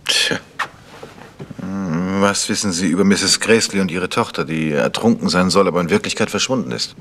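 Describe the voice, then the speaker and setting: A man's voice: low-pitched, normal speed, fairly bright tone. An actor playing a composed male amateur detective in a German radio crime drama, asking probing, matter-of-fact questions. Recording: long reverberant hall.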